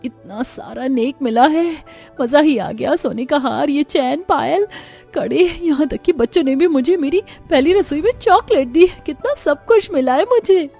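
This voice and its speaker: A woman voicing a proud, excited young daughter-in-law in a cartoon. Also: sits high, a little slow, gravelly, soft background music, exaggerated voice. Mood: proud delight.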